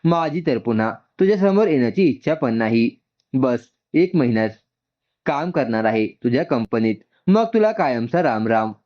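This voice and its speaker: A man's voice: medium pitch, expressive, moderate pace, natural voice. Man narrator as feisty young woman, resentful, scolding, story reading, dull lo-fi recording. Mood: angry muttering. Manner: grumbling, scolding. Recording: dull, muffled lo-fi sound.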